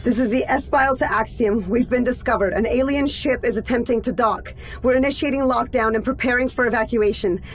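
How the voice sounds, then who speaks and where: A woman's voice: fairly high pitch, brisk, hardly any pauses, soft background music, lightly acted, rough recording. A woman playing a commanding, blunt mission leader on a space station, with terse authority, in game audio logs. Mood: urgent command. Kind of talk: scripted sci-fi game audio log acting.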